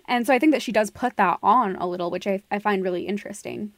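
A woman with a mid-pitched voice, recorded dry with no room sound. She speaks as a podcast co-host, casually analysing as she goes. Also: fast, no echo.

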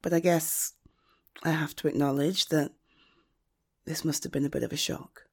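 A woman acting as a loyal, protective friend in an intimate audio roleplay, speaking earnestly and emotionally with halting, emphatic phrasing. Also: low-pitched, fairly even intonation, a little mellow, husky. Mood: gentle, reassuring.

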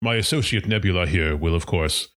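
A man's voice: deep voice, fairly quick, fairly bright tone. A man voicing a pompous, formal male doctor in a tabletop game.

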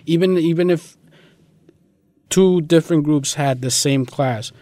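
A man's voice: a little high, measured pace, mellow, no echo. Young man talking casually, dry close sound. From Guatemala. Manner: informal, slangy, peppered with "like" and "you know". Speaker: interviewee. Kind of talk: casual conversational interview.

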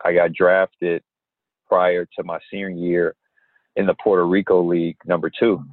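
A young man and basketball player from Indiana who answers interview questions in an unhurried way, with many pauses. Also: sits low, little pitch movement, no room sound. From Gary, Indiana. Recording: tinny phone-like line.

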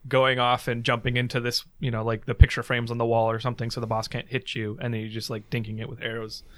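A man co-hosting a gaming podcast, relaxed and slow, explaining with examples. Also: mid-range voice. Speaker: podcast co-host. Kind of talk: casual podcast chat.

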